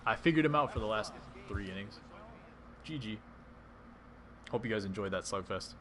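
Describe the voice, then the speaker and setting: A man's voice: on the low side, animated, normal speed, a bit bright. A man casually commentating his own baseball video game on a live stream, chatting with and answering his viewers as he plays. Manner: chatty, reads and answers chat while playing.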